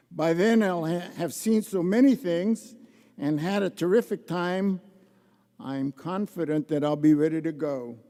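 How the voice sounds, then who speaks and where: Older man, unhurried heartfelt honoree speech, long arena echo. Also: on the high side, warm tone. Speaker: award honoree, judge. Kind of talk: acceptance speech reciting a poem. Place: large indoor arena gala. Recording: echoey large arena.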